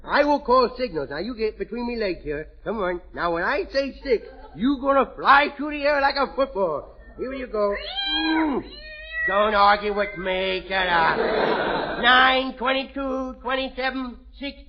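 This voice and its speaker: A man's voice: very high voice, animated, measured pace. A man playing a mischievous little boy in 1940s radio comedy, talking in childish, ungrammatical baby talk. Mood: bossy, gleeful. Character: mischievous little boy Junior, bratty and cheeky. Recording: noisy lo-fi vintage radio broadcast.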